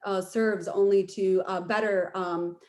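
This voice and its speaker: Middle-aged woman, medium pitch. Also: steady tone, unhurried, darkish tone. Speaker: mayoral candidate, attorney. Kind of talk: candidate forum answer.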